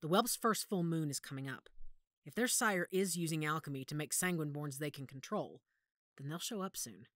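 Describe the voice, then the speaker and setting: Pack leader mother, werewolf audio drama, female actor: low register, decisive, strategic, dry studio sound. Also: animated, normal speed, fairly bright tone, no room sound. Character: commanding werewolf pack matriarch, a calculating mother.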